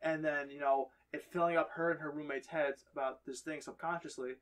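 Halting man with a slightly high voice. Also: fairly even intonation, a bit bright. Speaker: podcast co-host. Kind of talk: casual panel discussion.

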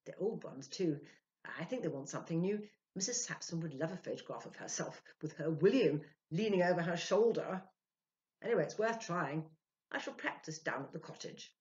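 A woman's voice: lowish voice, animated, moderate pace, darkish tone, pitched above the performer's own voice, lightly acted. Older lady doing a self-important medium guy from a storybook, earnest n emphatic, very up and down, slightly muffled home recording. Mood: eager, emphatic. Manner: emphatic, hedging, self-justifying.

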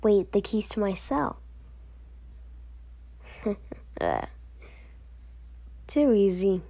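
A woman doing amateur voice acting, playing all the characters of an animated story herself. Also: medium pitch, extremely slow.

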